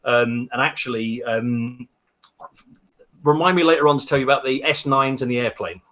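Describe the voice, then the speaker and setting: A man's voice: medium pitch, moderate pace, sharp tone. A man with a South London accent, a guest talking about home mining in a chatty, upbeat, self-mocking way. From Texas, raised in London from age eleven. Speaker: guest, home bitcoin miner and hardware maker. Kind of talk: casual podcast chat.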